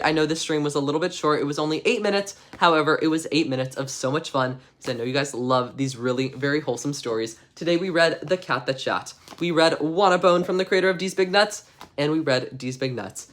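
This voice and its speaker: A man's voice: fairly high pitch, a bit bright. A man hosting a livestream of bedtime stories, chatting to viewers and reading aloud in a mock-innocent, tongue-in-cheek manner. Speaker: streamer host reading stories. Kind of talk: livestream chat and storybook read-aloud.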